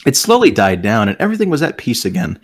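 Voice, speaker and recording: A man's voice: medium pitch, wildly swooping pitch, fast talker. A man doing a casual read-aloud for friends, breaking off into joking, sarcastic asides. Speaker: host reading fan fiction aloud with friends.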